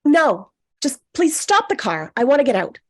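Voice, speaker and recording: A woman's voice: high-pitched, very animated, normal speed. A woman in a comedic table read, playing a miserable, exasperated, self-pitying single woman in a plaintive, natural way. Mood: fed up.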